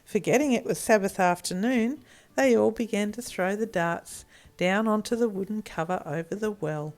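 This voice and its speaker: A woman narrating a children's story in an expressive storytelling style. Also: medium pitch, moderate pace.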